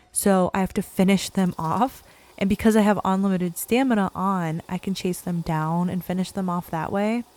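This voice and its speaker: A woman hosting a relaxed gameplay video, her voice scratchy and croaky from a cold, chatting in a laid-back, self-deprecating way. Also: fairly low pitch, no echo. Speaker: gaming channel host. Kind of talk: casual gameplay commentary.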